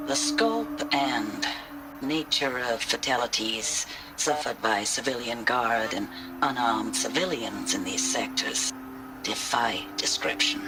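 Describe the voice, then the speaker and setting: An actress playing a disciplined military commander delivering a formal, clipped, grave status report. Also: female voice, rumbling low, rough recording. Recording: music behind the voice.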